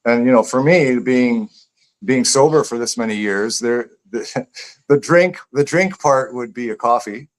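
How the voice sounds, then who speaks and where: A man with an average-pitched voice talks casually as a guest author in a live interview. He is reflective and tends to ramble, circling back and repeating phrases while he works out what he means. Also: a little slow.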